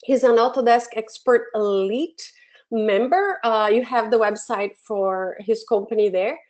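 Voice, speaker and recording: A woman from Brazil with a Brazilian Portuguese accent, hosting a live software webinar from her home office and talking in a friendly way with many fillers and restarts. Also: on the high side, unhurried. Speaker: webinar host, education program manager. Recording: dull lo-fi webinar audio.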